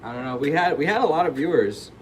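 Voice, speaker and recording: A young man with a slightly raised pitch talks in a loose, easygoing way, leaning on fillers and the odd curse word. A faint background hum runs under his voice. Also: expressive, quick pace, reverberant, distant mic, poor audio. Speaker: livestream host. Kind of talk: casual chat.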